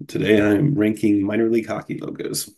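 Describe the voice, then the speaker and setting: A man's voice: lowish voice, level tone, a little fast, vocal fry, warm tone. A man from Cincinnati hosting a solo podcast in a casual, chatty way, sounding groggy from a cold. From Cincinnati, USA. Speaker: podcast host.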